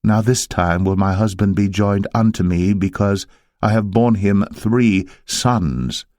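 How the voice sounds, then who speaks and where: A man's voice: on the low side, a little slow, a little mellow, no room sound, clean studio sound, natural voice. Man reading as a solemn, devout biblical wife. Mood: grateful devotion. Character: Leah, unloved young wife, sorrowful yet devout. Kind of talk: dramatised scripture reading.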